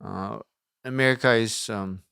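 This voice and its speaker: A young adult man, a non-native English speaker, explaining things in a measured way as an interview guest on youth ministry. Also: on the low side, gravelly, bright, forceful tone, smooth, no room sound, studio quality. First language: not English. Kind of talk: conversational interview about ministry.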